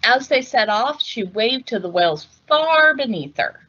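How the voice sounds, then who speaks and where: Bright-voiced woman reading aloud, slightly muffled recording. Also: on the high side, sing-song, measured pace, slight background noise. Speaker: read-aloud host. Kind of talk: scripted picture-book narration.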